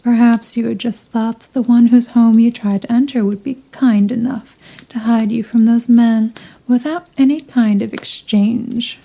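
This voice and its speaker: A woman acts out an elder vampire woman for an ASMR roleplay, haughty and possessive. Her voice is very dark and mellow, a little high for a woman, and soft enough to slip toward a whisper. She draws her phrases out slowly, teasing and condescending. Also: fairly even intonation, vocal fry. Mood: smug, knowing. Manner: slow, condescending, seductive, drawn-out phrasing. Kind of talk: ASMR roleplay, scripted character acting.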